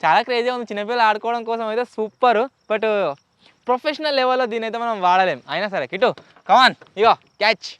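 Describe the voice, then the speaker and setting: Man, Telugu accent, high clear voice, fast pace, chatty vlog host, explanatory, English words mixed in. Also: a bit bright, dry recording. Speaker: YouTube host. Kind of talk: casual unboxing and testing vlog.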